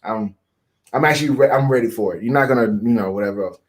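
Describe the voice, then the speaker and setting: A man's voice: mid-range voice, fast. A man chatting casually as a co-host, talking in an emphatic, slangy way. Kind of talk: casual chat.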